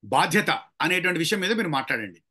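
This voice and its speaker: A man's voice: fairly high pitch, big pitch swings, racing, bright, forceful tone, breathy edge. A man training people in public speaking, emphatic and challenging as he instructs with examples. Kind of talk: interactive training lecture with examples.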